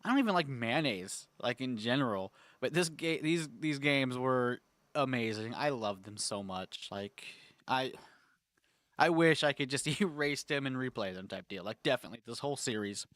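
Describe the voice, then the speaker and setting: A young man hosts a casual gaming video, his voice stuffy and congested, often stopping to clear his throat. He rambles happily through filler words at a middling pitch. The sound is close and dry, with no room around it. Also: expressive, normal speed, fairly bright tone, no echo. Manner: rambling, filler-heavy, enthusiastic. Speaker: gaming channel host. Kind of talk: casual tier-list chat.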